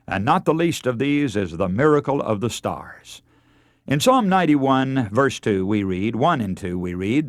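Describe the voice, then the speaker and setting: A man delivering a radio sermon as a preacher, earnest and emphatic, with deliberate, oratorical phrasing. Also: mid-range voice, no room sound, studio quality. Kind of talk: radio sermon, oratorical lecture with scripture readings.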